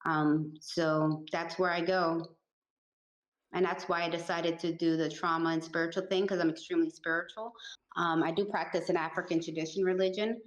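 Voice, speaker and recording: A woman's voice: fairly low pitch, steady tone, moderate pace, reverberant, muffled recording. A woman in her forties who grew up in the Northeast, answering interview questions in a laid-back, straightforward way. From Puerto Rico, raised in the Northeastern US. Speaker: guest, life coach.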